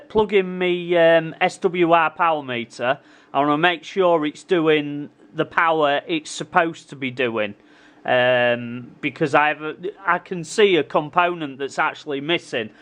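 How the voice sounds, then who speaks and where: A man with a somewhat higher-than-average voice presents a casual, hands-on repair tutorial. He speaks informally straight to his viewers, thinking aloud as he goes. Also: a bit bright. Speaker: repair hobbyist presenting his own video.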